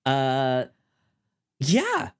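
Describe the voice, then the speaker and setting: A podcast co-host chatting casually about TV in a halting way, often repeating words mid-sentence. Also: medium pitch, huge pitch swings, smooth.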